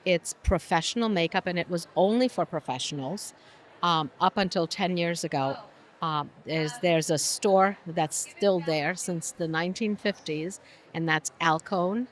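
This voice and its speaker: A woman's voice: lowish voice, measured pace, a little hiss or hum. An older woman from Romania, a guest talking casually in an interview, chatty and often laughing. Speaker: guest, business owner.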